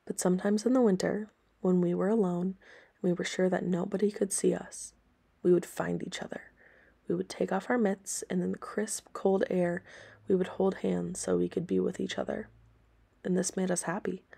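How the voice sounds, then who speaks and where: A woman reading aloud scripted story and history narration for listeners in a measured, plain way. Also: on the low side, creaky, dark tone, husky. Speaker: storybook reader and history presenter.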